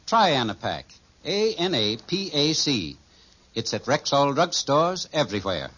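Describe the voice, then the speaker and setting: A man's voice: medium pitch, very animated, a little slow. A man reading a 1940s radio advertisement as a sponsor's announcer, with formal salesmanship. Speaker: sponsor announcer for a druggist chain. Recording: muffled old radio recording.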